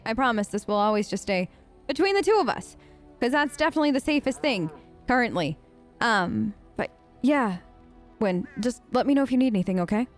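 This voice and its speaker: A woman let's-player improvising casual role-play replies in a chatty, rambling, playful way. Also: a little high.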